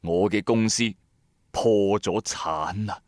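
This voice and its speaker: A man's voice: on the low side, takes it slowly, no room sound, clean studio sound. A man playing a bankrupt, hot-tempered trader who narrates his own story in colloquial, emphatic style for a radio drama. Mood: bitter, lamenting. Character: bankrupt male electronics trader, hard-pressed, hot-tempered, streetwise. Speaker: first-person narrator and lead. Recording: background music under voice. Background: music.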